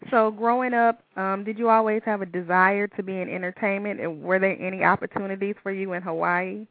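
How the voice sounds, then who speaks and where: A woman's voice: mid-range voice, fairly even intonation, a little fast, no echo. Woman hosting, muffled dry sound. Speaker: host. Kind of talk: talk show interview. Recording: muffled, slightly lo-fi, dry audio.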